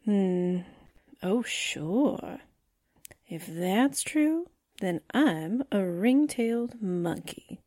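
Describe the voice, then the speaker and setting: A woman's voice: mid-range voice, animated, very slow, a little mellow. An actress playing a wry, skeptical newspaperwoman who questions sharply, in 1940s radio style. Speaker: actor in a radio drama.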